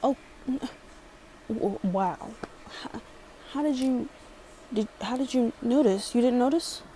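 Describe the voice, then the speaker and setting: A woman's voice: on the high side, slow pace, dark tone, a little hiss or hum. Sassy young woman with a Baltimore accent. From Maryland. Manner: chatty, rambling and sassy. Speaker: vlogger. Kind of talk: casual storytime.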